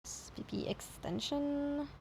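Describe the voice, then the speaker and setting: A woman's voice: sits high, slow, creaky, a little mellow, a little hiss or hum, low-quality recording. A woman chatting casually on a livestream, hesitant and thinking aloud as she repeats herself. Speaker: streamer reacting to horror videos.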